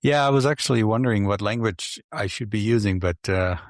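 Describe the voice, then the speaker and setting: A middle-aged man with a slight Dutch accent, hosting a casual podcast chat in a soft, mumbling, soothing voice. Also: fairly low pitch, fairly quick, dry recording, clean studio sound. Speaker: podcast host.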